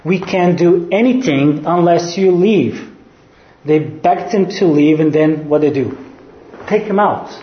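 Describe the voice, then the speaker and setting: A man's voice: on the high side, measured pace, roomy sound, dull audio. A man from Serbia with a Serbian accent, a pastor preaching a sermon and making his points with rhetorical questions. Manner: earnest teaching, rhetorical questions, answers his own questions.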